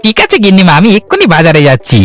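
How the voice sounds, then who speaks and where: A man voicing an eager, obedient household servant in a Bengali cartoon, deferential and animated. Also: sits high, racing, heavily acted. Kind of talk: Bengali fairy-tale cartoon acting. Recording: music under the dialogue.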